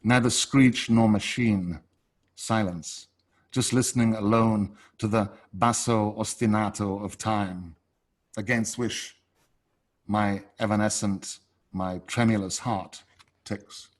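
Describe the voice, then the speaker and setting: A man with a slightly low voice reads poetry at a very unhurried pace. He sets each short phrase apart with deliberate, dramatic pauses, as a poet does at a live online reading. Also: fairly even intonation. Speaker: poet reading own work. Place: online video call reading. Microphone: video call.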